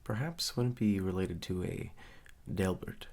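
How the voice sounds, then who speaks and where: A man playing a courteous but ominous clerk at a haunted hotel, speaking softly and slowly in an ASMR roleplay. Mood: polite, welcoming. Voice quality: soft-spoken, low, gravelly. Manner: slow, measured, hushed, politely eerie.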